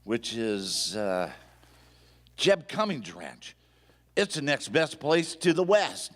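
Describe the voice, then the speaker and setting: A man's voice: medium pitch, very animated, slow pace, fairly bright tone. A man playing a hot-tempered, folksy sheriff with an American cowboy drawl in a live radio play. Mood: surprised, folksy. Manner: drawling cowboy slang, exclamatory. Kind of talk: Western radio play acting. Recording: live stage hall, long reverb.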